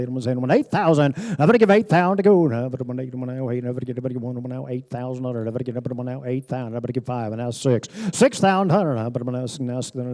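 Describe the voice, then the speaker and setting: A man working as an auctioneer, calling bids in a rapid rhythmic auction chant. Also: mid-range voice, a little mellow.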